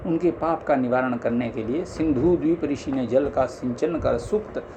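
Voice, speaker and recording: A man's voice: medium pitch, moderate pace, muffled recording, noisy background. A man formally reading scholarly religious text aloud, now and then stumbling, in an amateur recording. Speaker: reader of a scripture audiobook.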